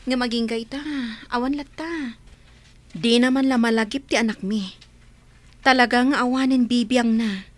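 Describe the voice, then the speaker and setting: A woman's voice: fairly high pitch, steady tone, normal speed, natural voice. A woman playing a jilted young expectant mother in a radio drama, wounded and resigned. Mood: defensive. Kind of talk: Ilocano radio drama acting.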